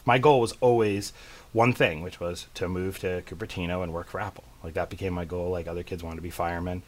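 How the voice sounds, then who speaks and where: A middle-aged man from central Massachusetts, a tech company CEO chatting as a guest, rambling and self-deprecating with lots of fillers. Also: deep voice. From central Massachusetts, USA. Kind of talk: casual interview chat.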